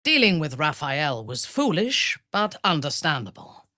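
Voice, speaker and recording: A woman playing a commanding, ruthless zealot warrior in a video game, speaking with a low husky voice and a measured, imperious, menacing manner. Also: sing-song, sharp tone, dry recording, clean studio sound, heavily acted. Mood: cool, measured. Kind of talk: video game character voice lines.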